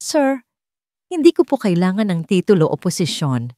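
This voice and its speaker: A woman's voice: fairly low pitch, very animated, moderate pace, warm tone, dry recording. A woman plays an elderly Filipino housemaid in an audio drama. She is humble, respectful and devoted. The sound is close and dry. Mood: humble, sincere.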